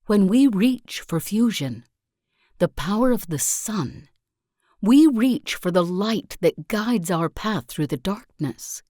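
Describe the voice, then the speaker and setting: A woman voicing a zealous self-help guru and sect leader in a video game, speaking in solemn, deliberate oratory with calm conviction. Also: medium pitch, dry recording, clean studio sound. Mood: solemn, inspiring. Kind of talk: scripted video game character monologue.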